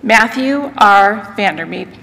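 A woman at a podium reading out graduates' names in a measured, formal way at a ceremony. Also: mid-range voice, animated, gravelly, bright, forceful tone. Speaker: ceremony name reader. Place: large hall, at a podium. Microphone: podium mic, far camera. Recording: long reverb of a large hall.

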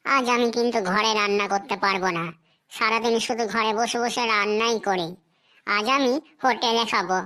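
A woman's voice: on the high side, steady tone, normal speed, pushed and bright. A woman voicing a nagging, demanding, gullible wife in a comedy cartoon, with a theatrical scolding manner and a rural western Bengal accent. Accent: rural western Bengal Bengali dialect. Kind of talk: comic cartoon dialogue.

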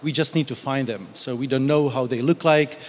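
Measured man on a panel, echoing hall. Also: medium pitch, slight background noise. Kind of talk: panel discussion. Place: large glass-walled hall. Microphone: handheld mic. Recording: long reverb of a large hall.

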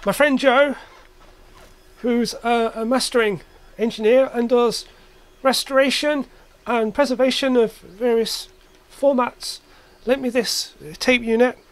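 A man's voice: very high voice, fairly even intonation, a little slow. A man giving a casual, hands-on demonstration as an electronics hobbyist in his workshop. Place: cluttered indoor electronics workshop.

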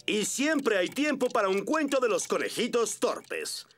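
Playful children's storybook narrator, high and very animated, over loud music. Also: male voice, measured pace. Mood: playful storytelling.

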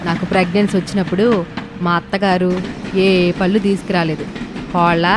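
A woman voicing a middle-aged mother-in-law in an animated moral story, stubborn, complaining and sarcastic. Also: fairly low pitch, noisy background, lightly acted.

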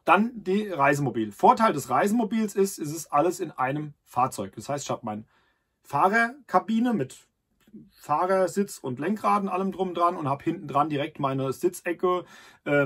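A man's voice: a little high, fairly bright tone, breathy edge. A man in his thirties, host of a camping channel, talking casually to the camera from inside a camper, with many fillers and restarts.

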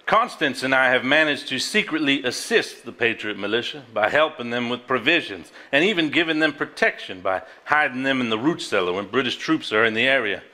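An actor on a theater stage playing a man who reads an old journal aloud, deliberate, formal and earnest. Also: mid-range voice, expressive, pushed and bright. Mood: earnest, tender. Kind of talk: live stage drama, measured dramatic reading. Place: theater stage before a live audience.

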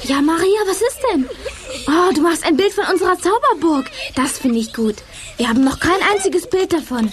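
An actress playing a cheerful, eager, energetic young girl in a children's radio play. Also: very high voice, moderate pace. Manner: bright-spirited, eager.

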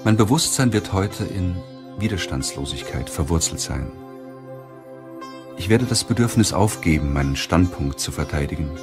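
A man reading a self-help audiobook in a measured, deliberate way with pauses. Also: sits low, animated, music in the background. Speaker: audiobook narrator. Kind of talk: scripted audiobook narration of a self-help book.

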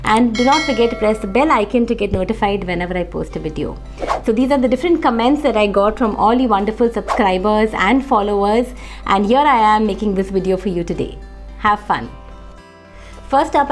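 A woman, hoarse from a cold, chatting to camera in a casual fashion vlog with many fillers. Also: mid-range voice. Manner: chatty, friendly, with frequent uh fillers. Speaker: fashion vlogger. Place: indoor room, seated facing camera.

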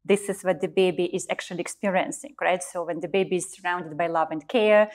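A woman's voice: fairly low pitch, steady tone, brisk. A woman hosting a studio interview with a lapel mic, encouraging her guest and explaining ideas. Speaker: interview host. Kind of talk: interview questions. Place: studio set with armchair.

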